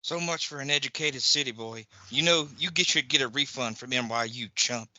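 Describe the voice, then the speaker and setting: A man's voice: medium pitch, brisk, bright, forceful tone, no echo. A man acting in a comedic audio play as a tough, blunt wrestler brother. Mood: taunting.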